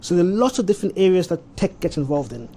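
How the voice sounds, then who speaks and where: A man's voice: sits high, sing-song, fast talker, mellow. Ghanaian man with an Austrian-tinged accent. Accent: Austrian-tinged English. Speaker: tech consultant guest. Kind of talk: talk show interview.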